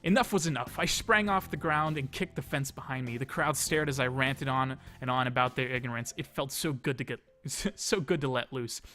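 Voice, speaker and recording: A man's voice: mid-range voice, normal speed, hardly any pauses, sharp tone. A man reading a visual novel aloud as a let's play host, dramatizing the story and dropping in casual asides. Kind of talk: expressive read-aloud narration of a visual novel. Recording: music behind the voice. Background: game music.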